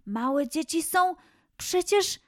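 A woman voicing a gentle young animal friend in a children's story, good-natured, with drawn-out words. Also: extremely high pitch, animated, dry recording, studio quality, voice pushed up, full character voice. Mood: encouraging.

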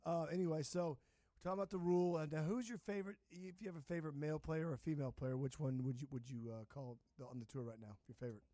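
A male host with a mellow, darker tone and a fairly high voice, recorded dry and close. He keeps things jokey and laughs easily, sometimes trailing off into mumbles. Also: very fast, dry recording. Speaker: podcast host. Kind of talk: casual interview chat.

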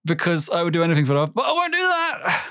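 A man's voice: a little high, wildly swooping pitch, fast, pushed and bright, slight background noise. A young man co-hosting a casual podcast, cracking crude jokes and swearing freely. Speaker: podcast co-host. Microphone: headphones and microphone.

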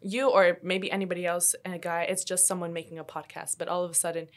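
Low-voiced young woman, thoughtful. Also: level tone. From Colombia. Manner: thoughtful, measured, frequent "you know". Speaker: podcast host. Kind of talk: casual podcast conversation.

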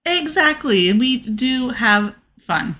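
Bright-voiced woman in casual banter. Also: a little high, animated, takes it slowly. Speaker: podcast co-host.

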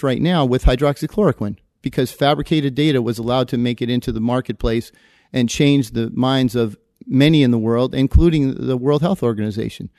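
A man's voice: mid-range voice, a little mellow, dry recording, clean studio sound. A man, a physician guest, answering interview questions with many fillers and restarts.

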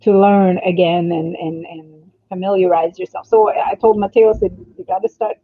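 A middle-aged woman chatting casually in an animated, storytelling way, with thin phone-like audio. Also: lowish voice, moderate pace, dry recording. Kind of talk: casual chat.

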